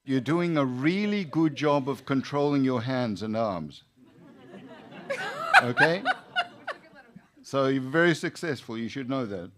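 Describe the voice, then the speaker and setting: A man moderating an event unscripted, speaking in measured, halting phrases with an encouraging manner. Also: medium pitch, lively intonation, a crowd in the background, noisy background. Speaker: moderator, priest.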